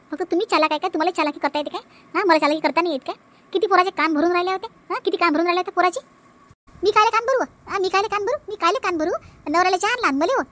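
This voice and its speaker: A woman's voice: very high voice, a bit bright. A woman voicing a proud, determined young village wife in a family cartoon, assertive and emphatic, with a Vidarbha Marathi accent. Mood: defiant. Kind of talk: comic family cartoon dialogue.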